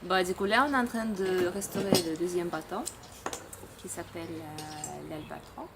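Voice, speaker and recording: A young woman from Russia leading a volunteer camp, chatting to camera with many pauses and fillers. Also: fairly low pitch, steady tone, creaky. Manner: hesitant, many fillers, laughs often. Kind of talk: casual chat to camera. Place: outdoor boat workshop. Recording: noisy outdoor ambience.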